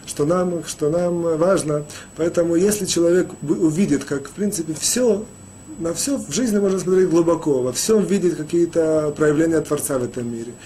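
A man gives a spoken Torah lesson, his voice sitting a little above the usual pitch and sounding mellow and dark. He talks haltingly, restarting words and filling pauses, with a faint hiss of noise behind him. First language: Russian, rarely used in daily life. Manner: halting, many restarts and "э" fillers. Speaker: rabbi teaching a Torah lesson.

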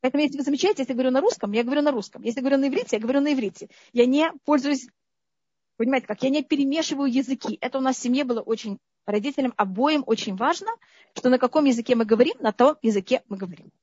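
A high-pitched woman from the Soviet Union talks through her memories, often checking that her listeners follow. The sound is dry and dull, as if taken from a video call. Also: very fast, no echo. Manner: lively, keeps asking the listener "you understand?". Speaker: interview guest. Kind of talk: conversational storytelling.

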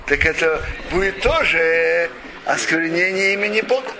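High-voiced man teaching a lesson. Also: normal speed. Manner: animated storyteller asking rhetorical questions; frequent restarts and self-corrections. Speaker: religious teacher. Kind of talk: Torah lesson retelling a midrash. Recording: steady background noise.

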